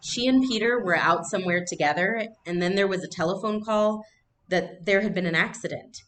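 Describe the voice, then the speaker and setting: A woman's voice: mid-range voice, fairly quick, fairly bright tone, a little hiss or hum, voice pushed up, lightly acted. A woman voicing a reassuring young girl, matter-of-fact and confiding, in a storybook read-aloud. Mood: somber, remembering.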